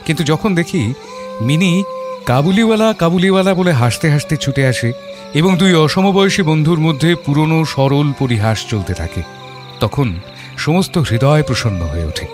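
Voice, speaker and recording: A man narrating an audio story as a thoughtful father, in a measured, literary storytelling manner. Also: fairly high pitch, animated, music in the background, lightly acted. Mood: reflective. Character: thoughtful middle-class father telling his story.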